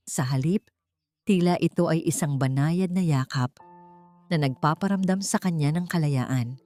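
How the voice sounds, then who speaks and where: A woman reading a dramatic scripted story in a solemn, measured, theatrical way. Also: sits low, darkish tone, no echo. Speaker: storyteller, narrator. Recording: dry voice over background music. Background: music.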